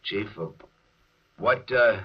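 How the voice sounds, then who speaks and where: Low-voiced, easygoing American painter. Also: male voice, takes it slowly, breathy edge. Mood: friendly. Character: easygoing, courteous landscape painter. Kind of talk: 1950s radio drama acting. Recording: muffled old radio recording.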